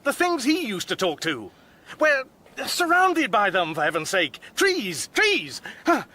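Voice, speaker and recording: A man's voice: high voice, wildly swooping pitch, measured pace, pushed and bright, full character voice. A man acting a bewildered, half-mad, sardonic castaway in a radio comedy, dithering and querulous. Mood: flustered. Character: bewildered, half-mad, sardonic stranded Englishman in a dressing gown.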